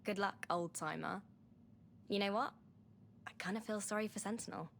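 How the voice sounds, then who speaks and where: An actress playing a bold, sharp-witted young girl in a video game. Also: mid-range voice, moderate pace, gravelly, a little hiss or hum, close to an everyday voice. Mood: cheeky. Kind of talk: video game cutscene acting.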